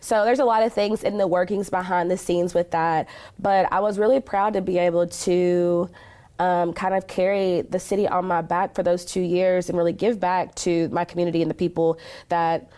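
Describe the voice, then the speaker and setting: A woman's voice: lowish voice, little pitch movement, normal speed, dark tone, clean tone. An American woman from Kentucky giving conversational interview answers as a community advocate, earnest and emphatic, speaking with conviction. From Kentucky, United States.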